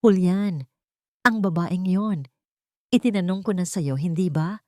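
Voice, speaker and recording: A woman's voice: lowish voice, a little slow, a little mellow, dry recording, clean studio sound, lightly acted. A woman voicing an elderly, rich matriarch in an audiobook drama, furious and imperious as she accuses and gives orders. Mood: furious, commanding.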